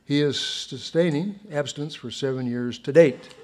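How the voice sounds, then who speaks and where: A man reading a measured, formal introduction for a guest speaker at a recovery meeting. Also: a little high, heavy background noise.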